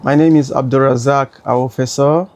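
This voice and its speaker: A man's voice: medium pitch, steady tone, moderate pace, darkish tone, no room sound. A male artist from Nigeria speaking reflectively about his work, constantly dropping "you know". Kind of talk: talking about his artwork.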